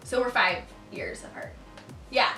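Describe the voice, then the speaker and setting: A woman's voice: measured pace, sharp tone. A woman in her twenties hosting a casual vlog chat, laughing and telling stories. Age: 20s. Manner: chatty, laughing, storytelling. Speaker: vlogger host. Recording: roomy echo, mic at a distance, faint music. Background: faint music.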